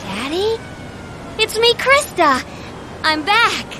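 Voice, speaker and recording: A woman voicing a gentle, girlish robot daughter in a video game, childlike and plaintive. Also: very high-pitched, very animated, takes it slowly, noisy background, heavily acted. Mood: eager. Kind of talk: video game cutscene acting.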